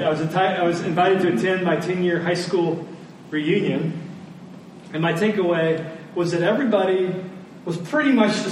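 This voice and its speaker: A middle-aged man preaching a sermon in a conversational way, putting rhetorical questions to his listeners. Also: a little high. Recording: very echoey room, distant mic, faint noise.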